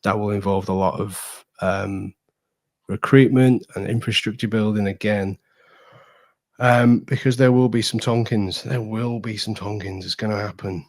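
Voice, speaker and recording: Male fan pundit, lowish voice, casual and opinionated, leans hard on repeated phrases. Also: steady tone, measured pace. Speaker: football podcast guest, fan pundit. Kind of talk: casual opinionated football chat.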